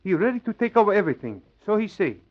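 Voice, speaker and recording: A man's voice: sits high, very animated, racing. A man playing a stubborn, cocky island crook in clipped broken English, in 1940s radio drama style. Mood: sly. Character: tough, stubborn male Pacific island crook, cocky and unruffled. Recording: dull old 1940s radio recording.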